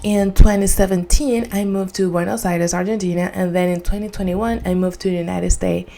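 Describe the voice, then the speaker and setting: A woman from Venezuela with a Spanish accent gives a prepared motivational presentation in earnest, deliberate non-native English. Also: lowish voice, steady tone, vocal fry, mellow. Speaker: student giving a personal speech.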